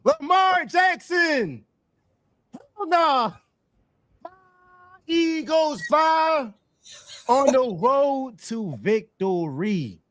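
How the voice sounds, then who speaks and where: A man hosting a casual sports podcast, hyped and loud, breaking into a team chant. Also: extremely high pitch, sing-song, very slow, long pauses, dry recording. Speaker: podcast host.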